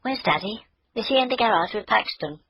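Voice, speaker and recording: A man's voice: sits high, animated, brisk, sharp tone, husky, pitched above the performer's own voice, exaggerated voice, low-quality recording. A man voicing an eager, anxious little girl in a children's storybook. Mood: eager, worried.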